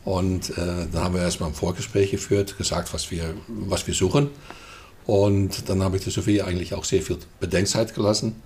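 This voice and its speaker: An older man from the Netherlands with a Dutch accent, talking hesitantly with many fillers and throat clearing as an interview guest. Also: sits low, steady tone, brisk, darkish tone, a little hiss or hum. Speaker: guest, festival co-manager. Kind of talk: casual interview chat.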